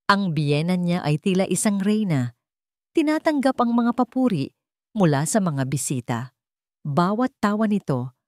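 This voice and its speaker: A woman with a Filipino accent narrating a scripted story in a measured, dramatic way with pauses. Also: deep voice, darkish tone, no room sound, studio quality.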